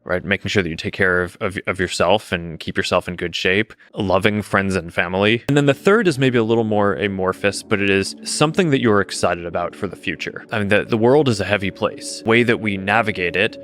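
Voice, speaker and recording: A man speaking in a measured way, listing his points one by one. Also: fairly low pitch, fairly bright tone.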